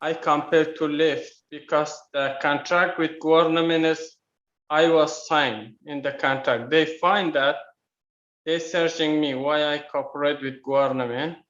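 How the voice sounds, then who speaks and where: A slightly high-voiced man with an Afghan accent tells his own story in halting, non-native English. He speaks slowly, over a video call with a clear room echo. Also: steady tone, fairly bright tone. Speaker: guest, refugee. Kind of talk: personal account in a video meetup. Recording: echoey room, muffled video-call audio.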